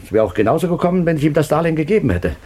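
A man's voice: a little high, sing-song, rapid-fire, dark tone, breathy edge. An actor playing an agitated, bitter, domineering father in a German crime radio play, speaking emphatically. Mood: defensive, regretful.

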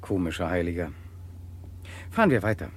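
A man's voice: low voice, big pitch swings, moderate pace. An actor playing a breezy man from a wedding party in a crime radio play. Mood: teasing, casual. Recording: loud car noise behind the voice.

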